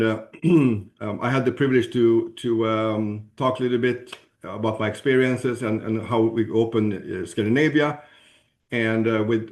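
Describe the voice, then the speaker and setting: A man from Sweden with a Swedish accent gives an informal promotional presentation, haltingly searching for words and clearing his throat. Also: mid-range voice, a little mellow. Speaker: presenter at a business opportunity webinar.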